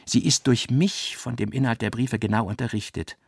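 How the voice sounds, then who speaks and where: A man voicing a composed, haughty brother who speaks formally for his sister with deliberate indifference. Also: mid-range voice, gravelly, husky, no room sound, studio quality. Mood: coolly assertive.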